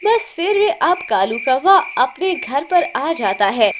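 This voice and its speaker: A woman's voice: sits high, big pitch swings, slow pace, background noise. A woman reading scripted narration for a children's cartoon story.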